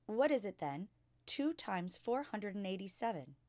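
A woman voicing a kindly, earnest young schoolteacher from a children's storybook, speaking in a clear, emphatic, instructing way. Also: medium pitch, very animated, moderate pace, a little mellow, dry recording, natural voice. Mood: curious, probing. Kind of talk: children's storybook read-aloud.

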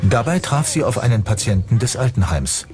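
Guy narrating a true crime doc, mid-pitched voice, music under it. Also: sing-song, fairly quick. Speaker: documentary narrator.

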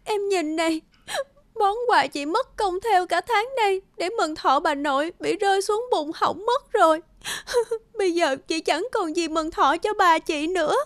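A distraught young village woman in a cartoon fairy tale. She wails slowly in an extremely high, tearful voice. The recording is very dry. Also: no echo. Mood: sobbing. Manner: wailing, plaintive. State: crying.

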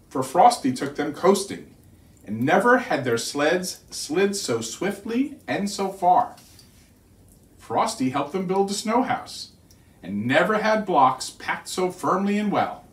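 A middle-aged man reading a children's storybook aloud in an expressive, deliberate storytelling manner. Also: a little high. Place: living room by a fireplace, indoors. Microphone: distant camera. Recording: roomy living room, distant mic.

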